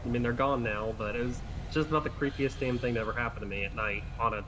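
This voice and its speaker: A man's voice: mid-range voice, fast. A man chatting casually as a gaming roleplayer, telling things in an informal way. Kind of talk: casual chat. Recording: noisy audio with background ambience.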